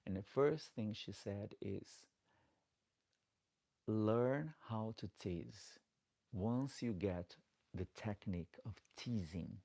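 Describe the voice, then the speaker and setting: Low, gravelly man with Portuguese accent. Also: warm tone. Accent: Portuguese-speaking. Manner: chatty, reads comments aloud, pleads for likes. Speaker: drag queen livestreamer. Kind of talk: casual live chat. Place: home, late at night.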